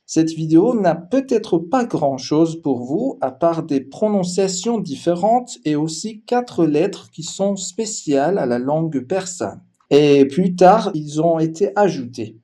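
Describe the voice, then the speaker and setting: Slow, mellow-voiced male teacher. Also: fairly high pitch. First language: Persian. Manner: slow, careful, explanatory delivery with hesitation fillers. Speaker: language teacher. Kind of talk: language lesson narration.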